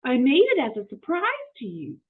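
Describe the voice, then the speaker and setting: Woman putting on a high, chirpy, innocent housekeeper. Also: huge pitch swings, normal speed, husky, full character voice. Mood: proud, helpful. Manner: earnest, chirpy, matter-of-fact. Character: cheerful literal-minded woman housekeeper, proud and innocently helpful. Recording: muffled narrow phone-like audio.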